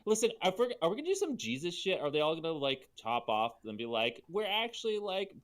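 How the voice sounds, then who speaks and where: A man's voice: on the high side, big pitch swings, fairly quick. A man co-hosting a comedy show with casual, incredulous, exaggerated banter. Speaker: podcast co-host.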